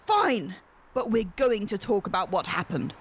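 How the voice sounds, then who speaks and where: A woman's voice: medium pitch, very animated, normal speed, slight background noise, close to an everyday voice. A woman playing a strained, apologetic but firm stepmother in a horror radio drama. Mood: stern, frustrated.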